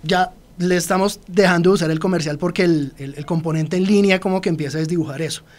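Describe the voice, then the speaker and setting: Man, Colombian accent, radio guest expert, fast talker, explanatory. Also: high-pitched, fairly even intonation. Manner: explanatory, addresses listeners directly. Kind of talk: radio interview.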